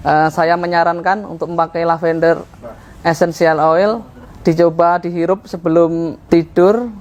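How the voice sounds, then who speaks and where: A man's voice: fairly high pitch, level tone, a little slow. A man from East Kalimantan giving a plain spoken testimonial as a company employee. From East Kalimantan, Indonesia. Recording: clear ambient background noise.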